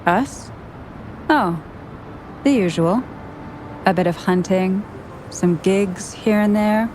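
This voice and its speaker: A woman's voice: medium pitch, slow pace, darkish tone, a little hiss or hum, close to an everyday voice, rough recording. A woman voicing a blunt, streetwise exile, talking in a brusque, offhand way. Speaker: game character. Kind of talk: game dialogue voice acting.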